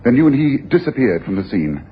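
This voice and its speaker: A man's voice: mid-range voice, sing-song, brisk, natural voice. A man acts a self-assured detective with a theatrical, domineering delivery. His voice swings widely as he makes his points, in a dull, noisy old radio broadcast. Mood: accusing. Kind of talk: 1980s radio drama acting.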